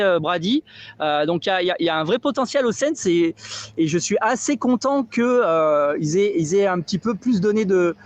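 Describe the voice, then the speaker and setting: A man giving casual football analysis on a podcast, halting and often repeating words. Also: high-pitched, dull audio.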